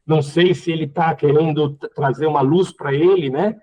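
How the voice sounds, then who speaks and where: A man's voice: a little high, steady tone, normal speed, dull audio. A Brazilian man giving unscripted political commentary as a panel guest, often repeating words as he searches for phrasing. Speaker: panel guest, community representative. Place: online live call.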